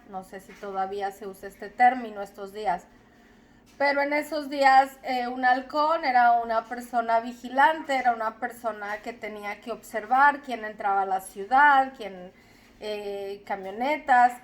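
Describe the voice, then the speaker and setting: A young adult woman from Zacatecas with a Mexican accent, slowly telling her personal story in a reflective, deliberate way. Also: on the high side. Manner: slow, deliberate, reflective with repetitions.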